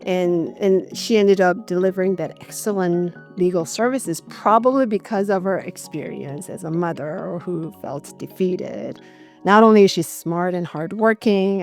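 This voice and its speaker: Middle-aged woman, slightly low voice, reflective. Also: unhurried, a little mellow, faint music behind. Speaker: interview guest, corporate legal executive. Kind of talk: conversational interview answers.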